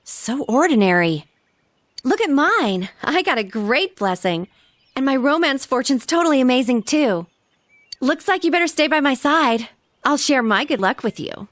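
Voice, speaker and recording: A woman's voice: sits high, sing-song, normal speed, a bit bright, dry recording. A woman voicing a bubbly, proud but clingy teenage schoolgirl in an anime video game, girlish and emotive. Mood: playful, boastful.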